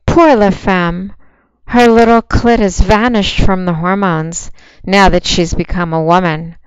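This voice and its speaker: A woman speaks in a soft, commanding voice at a slow, deliberate pace, every word very clean and crisp. She sounds fully in charge. The recording is dry and close but dull, with a muffled lo-fi sound. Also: on the low side, steady tone, no room sound. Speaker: phone sex operator. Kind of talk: promotional monologue.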